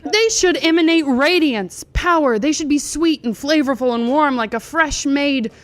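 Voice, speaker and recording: A woman's voice: high voice, moderate pace, no room sound, voice pushed up, natural voice. A drunk woman voicing an earnest, troubled male artist in a comic story read aloud.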